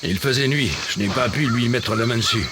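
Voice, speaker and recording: A man's voice: medium pitch, brisk, heavy background noise, lightly acted. An actor playing a wary, haunted male crash survivor in a video game, speaking.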